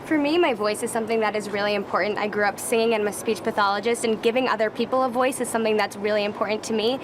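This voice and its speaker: Brief: young woman contestant, brisk polished answers, noise behind. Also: mid-range voice. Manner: earnest, polished. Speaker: pageant contestant. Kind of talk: interview answers. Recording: background noise.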